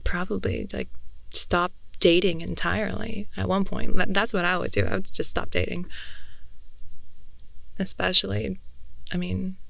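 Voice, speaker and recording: A woman playing an affectionate, rambling girlfriend in an intimate improvised ASMR roleplay, soft and hesitant, often slipping into whispers, close to the mic. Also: on the low side, creaky, no echo, rough recording. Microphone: close binaural headphone-oriented microphone.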